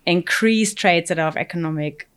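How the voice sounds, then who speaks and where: A woman's voice: fairly low pitch, moderate pace, a bit bright, clear, resonant tone. A middle-aged woman from Germany, a fish breeding scientist answering interview questions with many fillers and asides. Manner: thoughtful, explains with many fillers and "you know".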